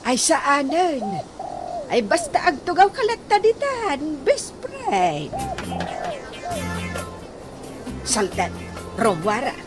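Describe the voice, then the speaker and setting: A woman's voice: sits high, huge pitch swings, slow pace, music in the background, heavily acted. A woman acting a chatty, scheming wife in a radio comedy drama, exclamatory and drawn out in her delivery. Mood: bossy.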